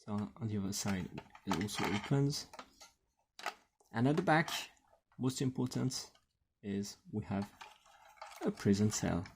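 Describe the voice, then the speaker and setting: A man giving casual, halting unboxing commentary as a host, murmuring to himself as he handles things, in a husky, gravelly voice. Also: fairly low pitch, animated, slight background noise. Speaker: toy unboxing video host.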